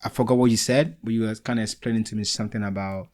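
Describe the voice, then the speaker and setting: A man's voice: on the low side, steady tone, rapid-fire, a little mellow. A man hosting a podcast, chatting casually and eagerly with loose, filler-heavy phrasing. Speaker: podcast host. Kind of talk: casual interview chat.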